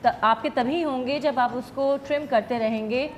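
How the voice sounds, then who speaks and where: A woman's voice: high-pitched, normal speed, a little hiss or hum. A woman chatting casually as part of a talk show panel. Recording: studio with music and crowd behind. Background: music and crowd sounds.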